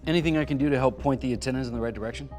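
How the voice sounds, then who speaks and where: A man's voice: medium pitch, racing, a little mellow, faint music behind, close to an everyday voice. Man playing an earnest dutiful army veteran. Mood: dry. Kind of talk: TV drama table read. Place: large meeting room full of people.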